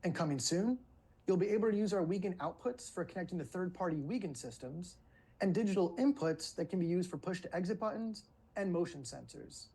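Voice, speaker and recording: A man with a slightly husky voice gives a scripted product presentation in a webinar. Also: high-pitched, expressive, fairly quick, mellow, rough recording. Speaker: company presenter.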